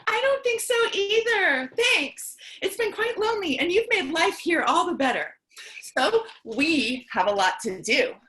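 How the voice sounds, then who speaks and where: A lonely, chatty survivor of the apocalypse, played by a woman in a comic stage play. She is excitable and theatrical, with a high voice that swings widely in pitch. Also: a little slow, heavily acted. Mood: affectionate. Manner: theatrical, chatty, swings between outbursts and cheer.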